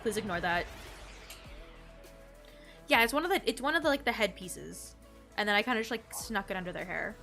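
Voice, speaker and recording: A woman's voice: mid-range voice, lively intonation, brisk, long pauses, pushed and bright, faint music behind. A young woman with a slightly nasal, congested voice, chatting casually and slangily with her viewers while playing a game. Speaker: game streamer. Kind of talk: casual gameplay chat to stream viewers.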